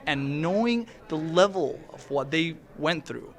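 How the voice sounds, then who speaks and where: A middle-aged man from Venezuela talks in a fairly high voice. He is earnest and emotional and often stumbles and restarts his sentences. He is speaking at an indoor red carpet event. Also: lively intonation, measured pace. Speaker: actor and interview guest.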